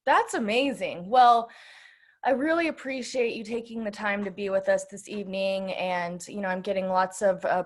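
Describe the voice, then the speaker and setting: A woman casually hosting an online event, speaking informally and haltingly, with appreciative remarks and questions. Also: mid-range voice. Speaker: host of an online bookstore event.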